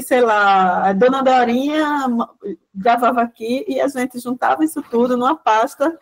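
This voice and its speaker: A woman lecturer with a Pernambuco Brazilian Portuguese accent talks through her material in a loose, chatty way. Her voice sits a little high, and she keeps checking in with tag questions and fixing her own words as she goes. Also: poor audio. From Caruaru, Pernambuco, Brazil. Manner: chatty, many "né" tags and restarts. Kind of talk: informal lecture.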